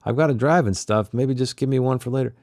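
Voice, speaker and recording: A man's voice: mid-range voice, quick pace, a little mellow, no room sound, clean studio sound, pitched above the performer's own voice, close to an everyday voice. A man reading novel dialogue as a laid-back stoner private detective, slangy and incredulous. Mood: casual, curious. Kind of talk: novel dialogue read aloud.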